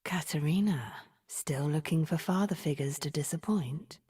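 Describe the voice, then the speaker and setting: A woman voicing a menacing, manipulative sorceress from a video game, husky and breathy, with slow, taunting threats. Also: low voice, warm tone. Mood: taunting whisper. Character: menacing, manipulative sorceress, a cold scheming deceiver. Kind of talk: video game champion voice lines.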